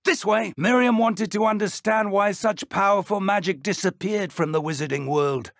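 An actor playing an elderly British wizard professor in a video game, an earnest, encouraging mentor with measured, formal speech and a gravelly voice. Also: male voice, high-pitched, no echo, studio quality. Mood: projected, instructive. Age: older. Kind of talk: video game character dialogue.

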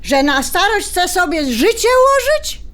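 An actress playing a defiant older sister with a Polish highlander accent, blunt and combative in an audio drama. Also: female voice, sing-song, a little slow, a bit bright, exaggerated voice. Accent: Polish highlander (Goral). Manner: combative, blunt, rural dialect. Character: feisty, defiant mature sister about to marry late.

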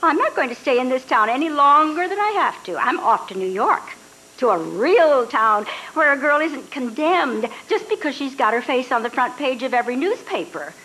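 A woman's voice: sits high, moderate pace, faint music behind, low-quality recording. An older actress reading the part of a defiant young woman bent on becoming a star, in a live radio drama re-enactment on stage. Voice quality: lively, defiant, flippant. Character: brash young woman dreaming of stardom. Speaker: reader's theatre actress. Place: hotel ballroom stage with audience. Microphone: stand mic on stage.

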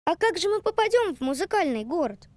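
A woman's voice: very high-pitched, sing-song, brisk, a little mellow. A woman voicing a curious, polite little child in a children's music cartoon. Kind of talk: animated cartoon character acting.

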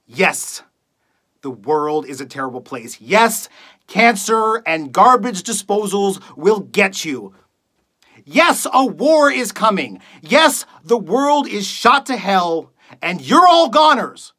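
Actor as embittered talk radio host: theatrical, scornful rant, tense edge, deliberate pacing, highly dynamic pitch. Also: animated, breathy edge. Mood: bitter, scornful. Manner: scornful, accusatory, building from low muttering to shouting. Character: bitter, contemptuous late-night talk radio host ranting at listeners. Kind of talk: theatrical dramatic monologue.

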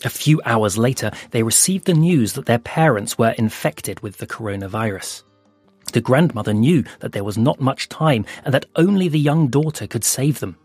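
A man narrating an audio fable in a slow, hushed storytelling manner with dramatic pauses. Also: medium pitch, animated, breathy edge, no room sound. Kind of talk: scripted audio fiction narration. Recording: soft music underneath.

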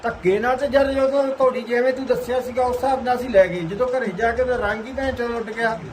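A high-voiced man with a Punjabi accent argues heatedly in plain rustic speech. He complains insistently and swears freely. It is a phone call recording with noise behind him. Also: fairly even intonation, fast. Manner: complaining, insistent, coarse-mouthed. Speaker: aggrieved buyer on a phone call.

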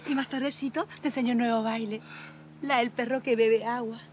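A woman's voice: fairly high pitch, steady tone, brisk, a little hiss or hum, lightly acted. An actress with a Colombian accent playing a flamboyant, lovestruck woman in a comedy series. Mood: gushing. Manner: theatrical, gushing, playful. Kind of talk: sitcom acting.

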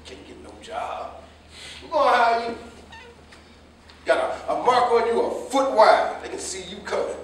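A man's voice: very high voice, very animated, normal speed, pauses often. An American man acting a stern, proud older father, scolding and bitterly disappointed, in a staged play reading. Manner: scolding, reproachful. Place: indoor staged reading, recorded from afar. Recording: echoey stage, distant microphone.

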